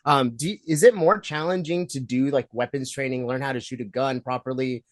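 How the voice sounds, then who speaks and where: A man's voice: medium pitch, a little fast, no echo. Young male junket interviewer, chatty and upbeat, says "like" a lot. Dry close sound. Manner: chatty, enthusiastic, heavy with fillers like "like". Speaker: entertainment interviewer. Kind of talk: casual press junket interview.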